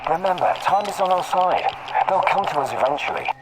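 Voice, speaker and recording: Cynical scavenger thug, video game, casual, higher-pitched, very noisy background. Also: male voice, lively intonation, brisk. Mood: scornful, relaxed. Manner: casual, scornful. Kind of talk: video game character acting.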